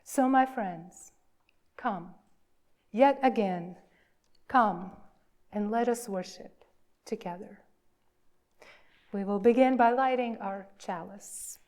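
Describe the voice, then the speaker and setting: A woman minister leading worship, reading reverently in a measured, emphatic way with deliberate pauses. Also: mid-range voice, darkish tone. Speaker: minister leading a church service.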